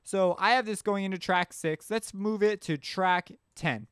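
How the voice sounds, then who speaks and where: Clear, higher-voiced man thinking aloud. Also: a little slow, fairly bright tone, no echo, studio quality. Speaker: tutorial host. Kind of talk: casual software tutorial narration. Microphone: close mic screencast voice-over.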